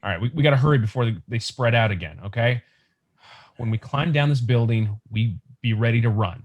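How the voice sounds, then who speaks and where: A man's voice: medium pitch, a little fast, muffled recording, natural voice. A man acting a young, practical, reassuring scavenger survivor in an urgent, conversational comic book table read over a video call.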